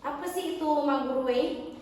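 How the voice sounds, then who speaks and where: High-voiced woman hosting in an echoey room. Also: normal speed, faint music behind. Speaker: podcast host. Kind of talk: podcast interview, lightly scripted. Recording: echoey hall, distant mic, background noise.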